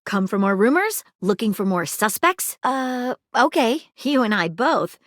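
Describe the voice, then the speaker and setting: A woman's voice: fairly high pitch, big pitch swings, a little slow, a bit bright, dry recording, clean studio sound, full character voice. A woman voicing an eager, prim detective magnifying glass in a video game, with arch, wordy, old-fashioned sleuth talk and a suspicious, wry temper. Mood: wry, helpful. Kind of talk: theatrical video game character acting.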